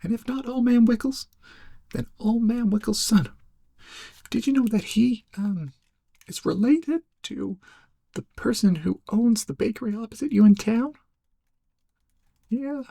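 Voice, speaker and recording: A man playing a laid-back, cowardly, goofy young amateur sleuth in a soft-spoken ASMR roleplay, rambling hesitantly in a breathy, cracking stoner drawl. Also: very high voice, measured pace, long pauses, no echo, exaggerated voice. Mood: chatty, friendly. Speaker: ASMR performer.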